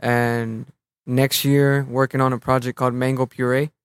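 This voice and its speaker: A young man of Mexican heritage, a rapper guest in a relaxed interview, talking in easy slang and letting his phrases fade out quietly. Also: medium pitch, moderate pace, clear, resonant tone, no room sound, clean studio sound. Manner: laid-back, slangy, trails off softly at phrase ends.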